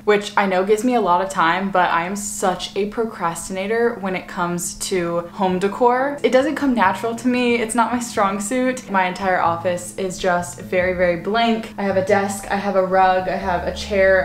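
A woman in her twenties chatting casually to the camera in her home, open and talkative, with lots of "like" fillers. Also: medium pitch. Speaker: vlogger. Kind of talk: casual vlog chat to camera. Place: home rooms, indoors.

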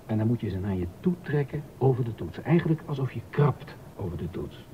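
An actor playing a stern, exacting baroque composer and organist in a docudrama, emphatic and easily indignant. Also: male voice, animated, brisk, close to an everyday voice. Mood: exacting, instructive. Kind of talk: docudrama radio acting. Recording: dull audio with rumbling noise.

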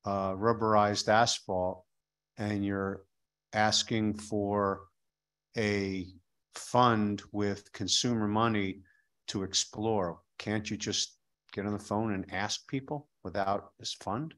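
A man's voice: fairly low pitch, fairly even intonation, slow, a bit bright. A middle-aged man questioning a witness at a legislative hearing, probing and skeptical, speaking into a desk microphone in a hearing room.

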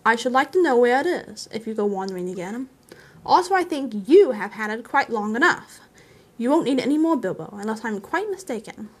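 A woman voicing a grave old male wizard, stern and commanding, with a measured, advising manner in a storybook reading. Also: fairly high pitch, lively intonation, husky. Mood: grave, firm.

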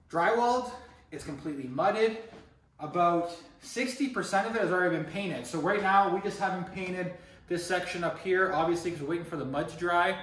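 A young man gives an upbeat, fairly high-voiced walkthrough of his rental renovation, speaking straight to viewers. The empty rooms ring with echo, and he stands a few steps back from the camera. A faint hiss runs behind him. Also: a bit bright. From Canada. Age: young adult. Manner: upbeat, addresses viewers as guys. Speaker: real estate investor vlogger. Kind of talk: walkthrough tour talking to camera. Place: empty apartment under renovation, indoors. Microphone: camera held by another person, a few steps away. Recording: echoey empty room, mic at a distance.

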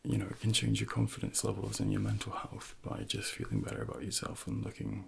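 A man in his thirties speaking softly and gently in an ASMR personal trainer role-play, close to the microphone with unhurried, hesitant pauses. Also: very animated, crowd noise. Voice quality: soft, breathy, gravelly low voice.

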